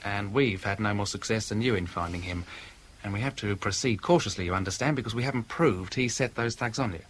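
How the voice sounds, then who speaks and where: An actor playing a wry, blunt police inspector in a 1970s radio drama, questioning in a folksy, methodical way. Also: male voice, lowish voice, fairly quick, close to an everyday voice. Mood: brisk, probing. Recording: old radio drama recording, faint noise.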